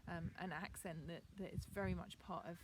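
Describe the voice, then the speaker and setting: A woman teacher with a received pronunciation accent gives a chatty, relaxed video lesson outdoors in her garden, with frequent ums. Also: fairly low pitch, brisk, background noise.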